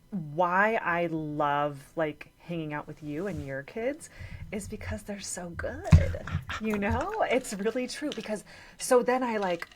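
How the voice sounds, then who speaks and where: A woman's voice: medium pitch, slight background noise. A young American woman chatting informally as a podcast guest, rambling a little and lightly joking. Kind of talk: casual chat.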